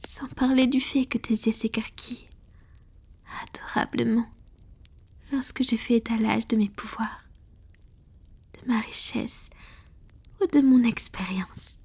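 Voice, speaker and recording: A woman plays a proud, ancient female vampire who is tender with a lover. The voice is slightly high and very breathy, mostly whispered. The delivery is slow and intimate, in the manner of a romantic ASMR roleplay. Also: fairly even intonation, a little mellow, full character voice. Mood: teasing, doting. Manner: slow, intimate, affectionate murmuring.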